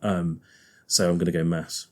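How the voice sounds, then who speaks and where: A man's voice: low-pitched, flat delivery, normal speed, a little mellow. A man with a Liverpool accent, a guest organist chatting casually on a podcast, often laughing and thinking aloud.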